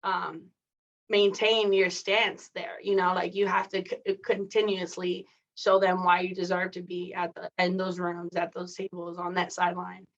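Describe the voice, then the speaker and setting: A woman's voice: lowish voice, little pitch movement, moderate pace. Chatty woman coach, dull audio. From Mexico, raised in California. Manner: candid, chatty, many fillers like "like" and "you know". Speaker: guest, coach and athletic director. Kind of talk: casual interview conversation.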